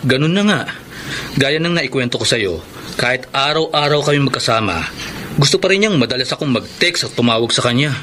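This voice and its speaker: A long-suffering young partner in a radio drama, patient yet frustrated. His mid-pitched voice confides earnestly, with clear noise behind it. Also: lively intonation, fairly quick, pushed and bright. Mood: frustrated, confiding. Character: long-suffering live-in boyfriend, patient but frustrated office worker. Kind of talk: Filipino radio drama acting.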